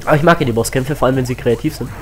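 A teenage boy with a cold, chatting excitedly as he plays a platform game on his channel, full of rambling asides. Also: medium pitch, rapid-fire, soft background music. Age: teens. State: has a cold. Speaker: let's play host. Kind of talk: casual game commentary.

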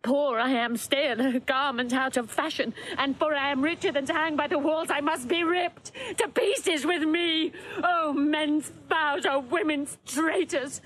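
An actress playing an anguished, proud young princess and wronged wife in impassioned, declamatory classical Shakespearean audio drama. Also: female voice, sits high, fairly even intonation, a little slow, few pauses, fairly bright tone, poor audio. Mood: wounded, tearful.

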